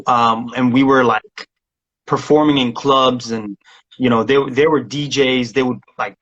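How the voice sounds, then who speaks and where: A man's voice: medium pitch, fairly even intonation, a little slow, a bit bright, muffled recording. A man from Somalia, a music producer chatting casually as a guest and rambling with many restarts and laughs. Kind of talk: casual interview chat.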